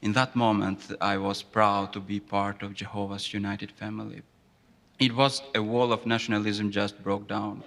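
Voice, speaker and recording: Earnest man from Kosovo, slightly low voice. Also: level tone, moderate pace, fairly bright tone, long reverb tail. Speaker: interviewee. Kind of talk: formal interview testimony.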